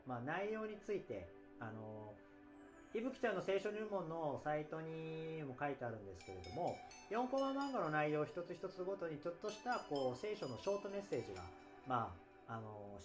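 A man hosting a casual solo podcast after-talk, explaining things in a hesitant, conversational way. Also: on the high side. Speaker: podcast host.

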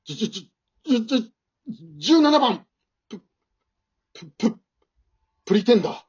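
A man's voice: high voice, sing-song, brisk, a little mellow, husky, lo-fi sound, pitched above the performer's own voice, heavily acted. A man playing a timid, nervous, unimpressive husband and father in an amateur radio drama, stammering and hesitant. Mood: flustered, nervous.

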